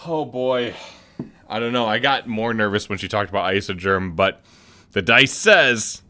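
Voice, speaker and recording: Rambling low-voiced man playing a game. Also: sharp tone. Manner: rambling, halting, thinking aloud with many restarts. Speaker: let's play streamer. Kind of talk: casual gameplay commentary.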